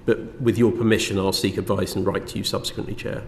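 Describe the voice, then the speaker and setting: A man's voice: on the low side, little pitch movement, fast talker, vocal fry. A man, a government minister, giving evidence in a courteous, cautious, deferential way. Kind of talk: formal evidence to a committee. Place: parliamentary committee room. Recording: echoey hall with faint noise.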